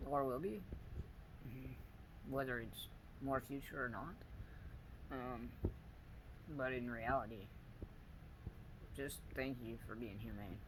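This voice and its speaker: A man with a weak, soft voice, speaking to camera in a personal video diary, halting and pausing often as he searches for words. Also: medium pitch. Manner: halting, searching for words, many pauses and fillers. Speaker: vlogger. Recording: audible background noise.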